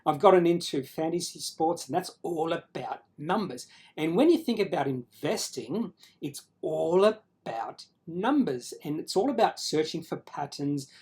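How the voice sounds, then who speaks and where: A man with an Australian accent talking casually to viewers as host of his own channel, explaining things in a halting, self-correcting way. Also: on the high side, animated, darkish tone. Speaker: finance video creator and host.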